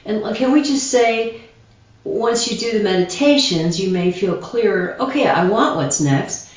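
A woman guest speaker explaining meditations calmly, in a slow, gentle, reflective way. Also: mid-range voice. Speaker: guest speaker, shamanism teacher. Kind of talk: calm guided explanation. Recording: distant echoey dull video call audio.

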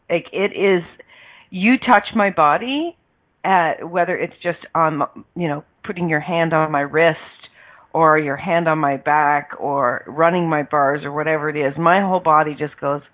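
An effusive woman host gushes and laughs as she interviews her guest. Her voice comes over a tinny, phone-like line. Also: low voice. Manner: effusive, gushing, laughs often. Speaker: podcast host. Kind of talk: casual interview chat.

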